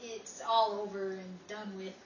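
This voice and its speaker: A woman's voice: medium pitch, flat delivery, measured pace. A woman, a voice actress in rehearsal, chatting casually and practicing lines, recorded from afar in a noisy room. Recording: echoey, distant mic, noisy room.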